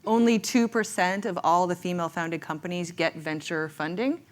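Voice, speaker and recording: A woman's voice: fairly low pitch, moderate pace. A woman who founded a tech startup, speaking candidly and with self-assured humor as a panelist. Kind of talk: panel discussion.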